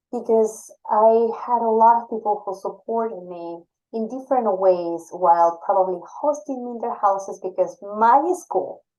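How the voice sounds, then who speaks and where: A woman from Ecuador, a native Spanish speaker, talks as a guest on a college podcast. Her voice sits at a middling pitch, and her English is earnest, with small slips and restarts. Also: mellow, low-quality recording. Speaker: assistant director of graduate admission.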